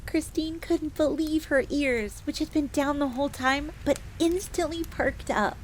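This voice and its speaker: A woman's voice: high voice, measured pace. A woman playing a devoted, eager fox maid who tells her story expressively in the third person, as in an audio drama. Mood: bashful delight. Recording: faint crackling fire noise behind voice.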